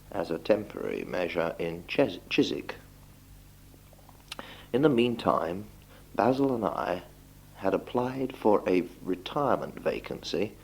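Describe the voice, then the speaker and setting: A young man from England talks in a deep, gravelly voice. He speaks informally but politely, pausing and choosing his words as he records a message for relatives. It sounds like a home reel-to-reel tape from the 1960s. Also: darkish tone, rough recording. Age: young adult. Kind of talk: spoken letter, informal monologue.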